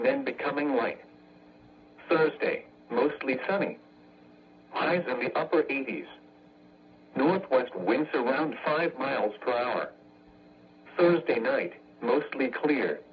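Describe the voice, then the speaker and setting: Male synthetic announcer for automated weather radio: stilted, impersonal, clipped phrasing, muffled lo-fi broadcast with slight background noise. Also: medium pitch. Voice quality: synthetic, stilted text-to-speech. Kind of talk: synthesized weather forecast reading.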